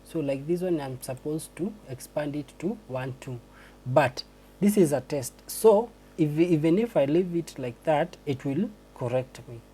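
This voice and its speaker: A man giving a screen-recorded tutorial on a transcription test, explaining slowly and hesitantly with many restarts. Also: a little high, lively intonation, dark tone, slightly rough, low-quality recording.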